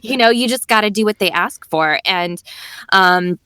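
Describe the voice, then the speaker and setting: A young adult woman talks as a guest on a podcast in a loose, chatty way, filling her sentences with "like" and "you know". Her voice sits at a middle pitch. The recording is close and dry, with no room sound. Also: normal speed, clean tone, dry recording. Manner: chatty, animated, many "like" and "you know" fillers. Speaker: voice actress guest. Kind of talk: casual podcast interview.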